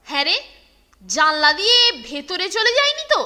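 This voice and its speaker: A woman's voice: very high-pitched, sing-song, moderate pace, very noisy. A woman voicing a cheeky young schoolboy sidekick who talks in an eager way.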